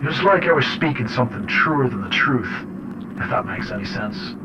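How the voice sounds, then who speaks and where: A man playing an unsettled father who records a horror audio diary, speaking in deliberate, reflective and halting phrases. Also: medium pitch, lively intonation, close to an everyday voice. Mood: fascinated, reflective. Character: unsettled father recording eerie journal notes. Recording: muffled, noisy radio-like audio log.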